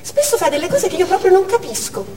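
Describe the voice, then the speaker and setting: A woman's voice: very high voice, big pitch swings, quick pace, mellow. Caring, impulsive mother, theatrical delivery, far from mic, long hall reverb. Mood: rueful, tender. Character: caring, impulsive working mother of young daughter. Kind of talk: theatrical stage monologue. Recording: echoey hall, distant mic, clear noise.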